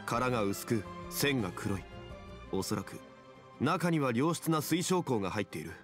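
A composed, learned gentleman acted by a man in an anime game. He speaks at a mid male pitch with measured, formal authority and unshakable dignity, over background music. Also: big pitch swings, lightly acted. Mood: explaining, dignified. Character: composed, erudite gentleman consultant, calm and dignified.

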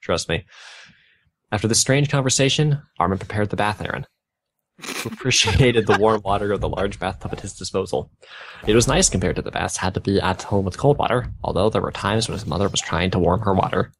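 A man's voice: fairly low pitch, brisk, slight background noise, low-quality recording. A young man reading a story aloud, stumbling over garbled wording and breaking off with bemused asides. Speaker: fan fiction reader on a gaming video.

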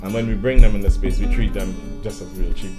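A man's voice: fairly low pitch, fairly even intonation, fast. A man who directs a dance company, speaking earnestly and with emphasis. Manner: earnest, emphatic, non-native phrasing. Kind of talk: behind-the-scenes interview. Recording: music playing under the voice.

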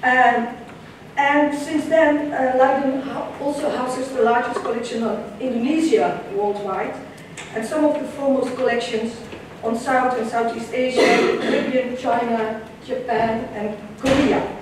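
Woman, Dutch accent, conference talk, halting with ums, distant from the mic, strong hall echo. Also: a little high, steady tone, background noise. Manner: measured, with frequent ums and restarts. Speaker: conference presenter. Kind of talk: conference presentation. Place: large lecture hall with audience. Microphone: room camera far from the stage. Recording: echoey lecture hall from far off.

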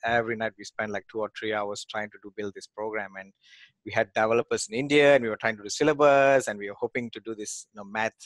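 A man in his forties from Malaysia speaks in a fairly low voice over a headset on a video call. The sound is dry and close, with no room around it. He talks hesitantly, with many fillers and restarts, as an interview guest. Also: fairly even intonation, quick pace, dry recording, rough recording. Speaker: guest, real estate investor. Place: home office with bookshelves.

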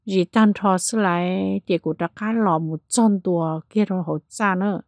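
A woman narrating a spooky tale in a lively storytelling manner, changing pace and loudness as she goes. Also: medium pitch, normal speed, no echo. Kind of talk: spoken ghost story narration.

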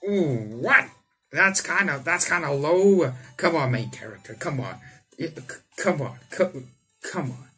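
A man's voice: fairly high pitch, expressive, a little slow, bright, forceful tone, breathy edge. A young man hosting a visual novel let's play, reading text aloud and adding exclamatory, theatrical asides. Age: young adult. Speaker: gaming video host. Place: indoor room. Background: game music.

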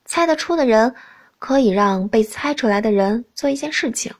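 A woman narrating a novel in the first person as an expressive audiobook reader. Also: fairly high pitch, normal speed.

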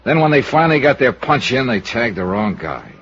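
A muffled old radio drama recording carries a tough American investigator. He is cynical and clipped, with lively, swinging intonation and a sardonic edge. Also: medium pitch, brisk, husky. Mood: sober. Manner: clipped, sardonic, hard-boiled. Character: tough, cynical male freelance insurance investigator. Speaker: lead actor and narrator. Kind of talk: 1950s radio detective acting.

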